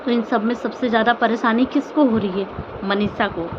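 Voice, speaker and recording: A woman's voice: fairly high pitch, fairly even intonation, moderate pace, highs cut off, noisy background. A woman vlogger giving informal, earnest opinion commentary, pleading with listeners and repeating phrases and rhetorical questions. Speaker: vlogger commenting on online family drama.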